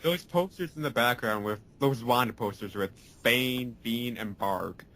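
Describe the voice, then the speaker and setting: A man's voice: medium pitch, unhurried, sharp tone, a little hiss or hum. A young man co-hosting a podcast, chatting eagerly about video game details. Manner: enthusiastic gamer talk. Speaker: podcast co-host. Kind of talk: casual chat.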